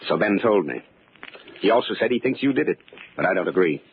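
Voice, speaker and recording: An actor playing a cool, polite friend in a 1940s radio mystery, brisk and clipped. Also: male voice, low-pitched, expressive. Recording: tinny, noisy old radio recording.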